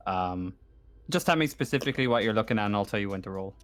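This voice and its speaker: A man running a tabletop roleplaying game, narrating and explaining rules in a halting way, often repeating himself. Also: lowish voice, lively intonation, a bit bright. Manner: halting, often repeats and corrects his words. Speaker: game master.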